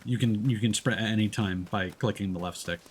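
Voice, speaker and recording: A man's voice: fairly low pitch, brisk, a little hiss or hum. A man doing casual live game commentary, thinking aloud into a streaming mic with game music behind him. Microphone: streaming microphone with headphones.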